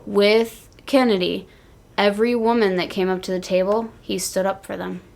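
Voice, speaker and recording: A young woman co-hosting a wrestling podcast, chatting casually and telling stories in slangy, animated talk. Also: medium pitch, normal speed, creaky. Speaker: podcast co-host. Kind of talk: casual chat about pro wrestling.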